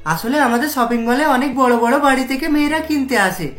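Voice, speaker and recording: A woman's voice: sits high, fairly quick, natural voice. Male cashier, performed by a woman: condescending apologies, cartoon delivery, roomy sound, music under. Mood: apologetic. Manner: deferential, explaining. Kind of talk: cartoon voice acting. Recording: roomy echo, music behind.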